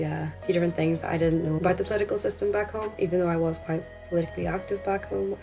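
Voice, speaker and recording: A young Northern Irish woman with a fairly low voice talks casually to her viewers. She is chatty and self-deprecating, in the informal style of a student study vlog. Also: vocal fry. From Northern Ireland. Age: young adult. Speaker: student vlogger.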